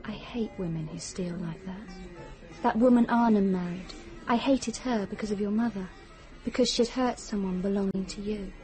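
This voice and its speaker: A woman's voice: mid-range voice, normal speed, over loud music, close to an everyday voice, rough recording. An actress playing a possessive, secretive young woman in a radio drama, speaking with intimate, controlled devotion. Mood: intense, confiding.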